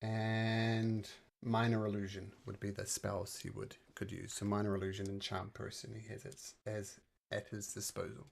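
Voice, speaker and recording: Middle-aged male game master, gravelly voice, relaxed rules explainer. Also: on the low side, normal speed. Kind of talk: running a tabletop game stream.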